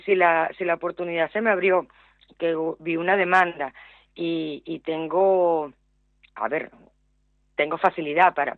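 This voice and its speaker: Venezuelan lady on the phone, middle-aged, halting speech, repeats words, tinny dry sound. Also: low-pitched, no room sound. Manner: hesitant, many fillers and repeated words. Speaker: guest, small business owner. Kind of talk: radio interview.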